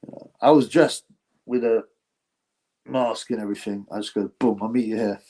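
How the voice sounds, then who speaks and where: A man's voice: fairly low pitch, steady tone, normal speed, dark tone. A man hosting a casual live stream, rambling, reading chat and laughing often between filler words. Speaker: boxing channel host reading chat.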